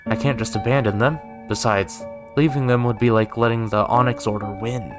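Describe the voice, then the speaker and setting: A man's voice: medium pitch, steady tone, moderate pace, music in the background, close to an everyday voice. A man voicing a reserved, dutiful young woman with a curt manner in a visual novel read-aloud. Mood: firm.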